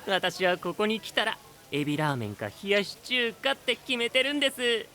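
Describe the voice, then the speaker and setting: A man playing a gentle, childlike young knight with a soft, polite, doting manner in an anime audio drama. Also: sits high, sing-song, moderate pace, slight background noise, heavily acted. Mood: happy.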